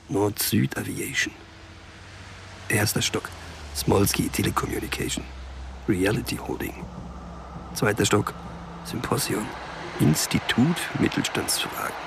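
An actor playing a wry, weary small-time drug dealer in a German crime radio play, muttering drily in a gravelly voice. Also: male voice, on the low side, slight background noise, exaggerated voice. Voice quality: gravelly, creaky.

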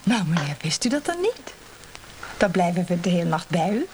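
An actress playing a pushy, flirtatious chambermaid in a radio play, chirpy and insistent. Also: female voice, on the low side, big pitch swings, normal speed. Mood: insistent, suggestive. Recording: noisy background.

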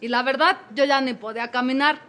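A woman's voice: high-pitched, brisk, sharp tone. A woman with a Mexico City accent playing a brash woman who talks in street slang. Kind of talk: comedic radio play acting.

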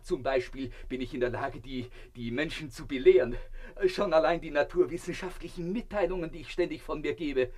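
Male actor as a vain radio-play gentleman, high voice, pompous, lecturing, theatrical, smug. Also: brisk, natural voice. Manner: pompous, lecturing, self-satisfied. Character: self-important man swallowed alive by a crocodile, blissfully vain.

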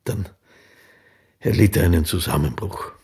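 A very husky, deep-voiced man serves as a sober chronicler. He gives factual, scripted narration for a radio play. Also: normal speed, a little mellow, full character voice. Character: sober male documentary chronicler.

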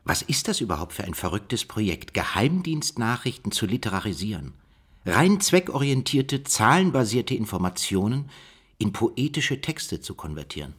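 Male code-breaker, radio play, low husky voice, inquisitive, sceptical, thinking aloud. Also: sing-song, moderate pace, fairly bright tone, full character voice. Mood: sceptical, reasoning. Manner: questioning, reasoning aloud. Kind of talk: German radio play dialogue.

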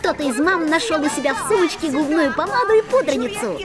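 A mischievous schoolboy telling his own story in a high, lightly lilting voice. It is a musical fairy-tale radio play, with music running under the narration. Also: animated, fast. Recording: music playing behind.

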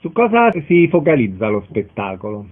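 A man's voice: on the low side, huge pitch swings, normal speed, narrow, tinny audio. A man hosting a radio interview, presenting guests and putting questions to them.

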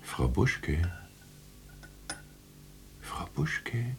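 An actor playing a sardonic, ghostly narrator in a radio play, with a gravelly voice and a commanding, teasing manner. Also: male voice, sits low, sing-song, drawn-out words, in a whisper, full character voice. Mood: eerie, commanding. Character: unseen sardonic male narrator, ghostly herald of death. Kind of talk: radio play narration, addressing listeners and figure.

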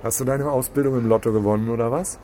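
A man's voice: medium pitch, steady tone, quick pace, dark tone, lightly acted. Man as sarcastic police boss, noisy surroundings. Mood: angry, sarcastic. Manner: sarcastic, commanding. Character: gruff, sarcastic police boss, short-tempered and commanding. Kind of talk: naturalistic German radio crime drama.

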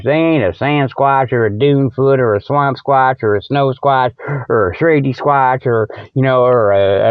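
A man's voice: medium pitch, clear, resonant tone, no room sound, highs cut off. An American man hosting a comedy radio show, delivering a folksy, joking, digressive monologue. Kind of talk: rambling comic monologue.

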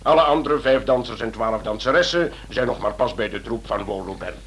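An actor playing an authoritative, businesslike senior police official in a radio drama, speaking in formal, deliberate phrases. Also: male voice, mid-range voice, expressive, a bit bright, close to an everyday voice. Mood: methodical. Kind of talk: Dutch-language radio drama acting. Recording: noisy old radio drama recording.

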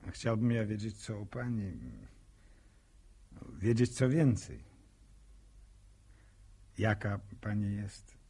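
An actor playing a shy, curious young farmer in a radio drama, with speech that is hesitant, stammering and plain. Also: male voice, on the low side, fairly even intonation, slow pace, pauses often, mellow, breathy edge, heavily acted. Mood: earnest, curious. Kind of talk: naturalistic radio drama acting.

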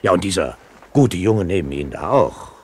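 A man's voice: low-pitched, expressive, normal speed, slight background noise. An actor playing a jovial, sly middle-aged one-legged ship's cook with folksy, wheedling seaman's patter in a radio play. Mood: chummy, teasing. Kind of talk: 1970s German radio play acting.

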